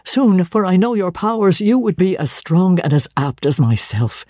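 Woman voicing a deep, relentless zealous clergyman. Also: very animated, dark tone, no echo, studio quality. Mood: insistent. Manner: solemn, deliberate, commanding. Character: stern, zealous young clergyman, relentless and cold.

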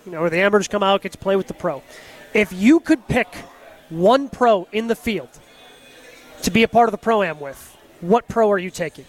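A man co-hosting a live sports radio show from a golf tournament, chatting with quick enthusiasm. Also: high voice, animated.

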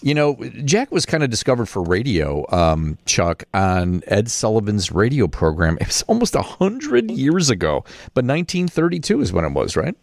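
An American man hosts a radio interview in a deep register. The sound is dry and studio-close, and his delivery is that of a broadcast presenter. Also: huge pitch swings, normal speed, gravelly, few pauses, dry recording. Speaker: radio host.

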